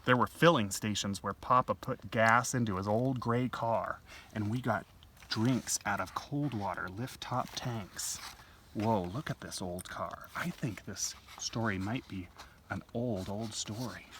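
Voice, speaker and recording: A man reads a picture book aloud at a slow, gentle pace, pausing for little asides to a child. His voice is bright and clear-cut, picked up from a distance with faint noise. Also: fairly low pitch. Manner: gentle, unhurried, explaining things to a small child. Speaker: library storytime host. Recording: distant home-video mic with faint noise.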